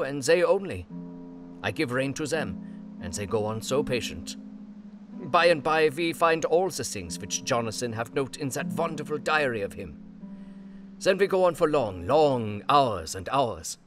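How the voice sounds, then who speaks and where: An earnest man reads a journal aloud in halting, foreign-phrased English. His pitch sits in the middle range. A clear music bed plays under him throughout. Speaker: narrator reading an elderly professor's journal. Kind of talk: audio drama reading in broken English.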